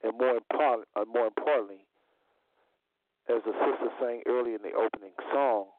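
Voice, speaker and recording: Phone-line male talk show host. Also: medium pitch. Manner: deliberate, repeats phrases for emphasis. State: voice a little under the weather. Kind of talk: unscripted call-in religious talk show hosting. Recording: phone-like internet radio audio.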